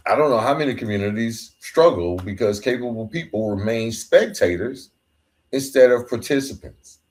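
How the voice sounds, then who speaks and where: A man's voice: on the low side, darkish tone, rough recording. A man hosting a podcast, giving an earnest, emphatic solo talk in a colloquial way, sometimes stumbling over his words. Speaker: podcast host. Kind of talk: solo motivational monologue.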